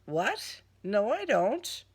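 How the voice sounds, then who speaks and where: A woman's voice: mid-range voice, very animated, very slow, slightly rough, full character voice. A woman playing a blunt, slangy, sarcastic heroine who stands her ground in a crime audiobook. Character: defiant, sarcastic streetwise woman working for police.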